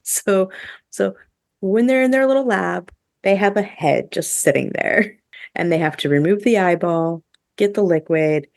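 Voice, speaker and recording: A woman's voice: medium pitch, unhurried, gravelly, a little mellow, no room sound, clean studio sound. A woman co-hosting a podcast in a casual, chatty and enthusiastic way, peppering her talk with "like". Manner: chatty, enthusiastic, heavy use of "like". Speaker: podcast co-host. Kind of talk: casual podcast review chat.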